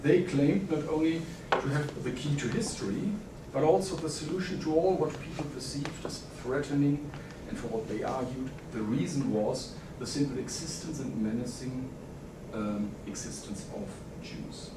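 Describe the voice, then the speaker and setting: A man from Germany with a German accent, reading a formal conference paper in a measured way, with frequent "uh" pauses. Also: medium pitch. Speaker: academic conference speaker. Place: conference hall. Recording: echoey hall, distant mic, noisy.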